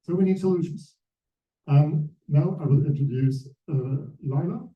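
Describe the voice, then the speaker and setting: A man speaking as a panel moderator, giving a public talk in halting, emphatic short phrases. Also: on the high side. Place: echoey room at a panel event. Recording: muffled, echoey room, distant mic.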